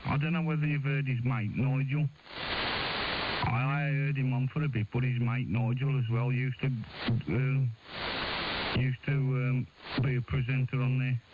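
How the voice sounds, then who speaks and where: A British man talks in a flat, level tone over a ham radio link buried in loud hiss and static. He explains things in a casual, colloquial way, circling back and repeating himself. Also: mid-range voice, moderate pace. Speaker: amateur radio operator. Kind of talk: casual technical chat. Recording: hissy, static-laden narrow-band ham radio.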